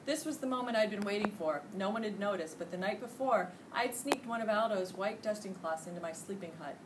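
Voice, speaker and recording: A middle-aged American woman reading a children's storybook aloud with expressive, emphatic delivery. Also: mid-range voice, a little fast. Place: indoor school classroom. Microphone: distant camera microphone. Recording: distant camera mic, noisy room.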